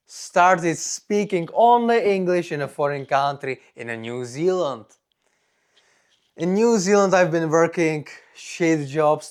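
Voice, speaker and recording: A young man from the Czech Republic, a native Czech speaker with a strong Czech accent, gives a personal vlog monologue in deliberate, simple non-native phrasing. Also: fairly high pitch, clear, resonant tone. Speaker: vlogger.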